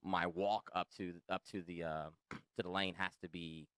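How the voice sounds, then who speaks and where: Male American podcast host, deep register, conversational interviewer, dry close-sounding recording. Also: fairly even intonation, moderate pace, a bit bright, clean tone, no room sound. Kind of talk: casual interview chat.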